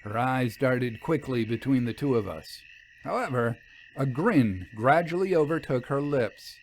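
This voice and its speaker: A man's voice: mid-range voice, animated, a little slow, a little hiss or hum, poor audio. A man reading scripted fiction aloud as the narrator.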